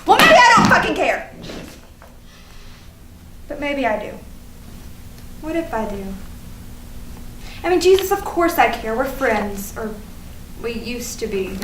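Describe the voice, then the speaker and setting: Actress doing hurt indignant young woman, really high swoopy voice, emphatic stage comedy, echoey. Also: very animated, slow, long pauses, heavily acted. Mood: torn, fretting. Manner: emphatic, repetitive, swearing. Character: hurt, indignant young woman obsessing over social media posts. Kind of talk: theatrical staged reading of a comic play. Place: live staged reading in an echoey room. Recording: echoey, noisy stage recording from far off.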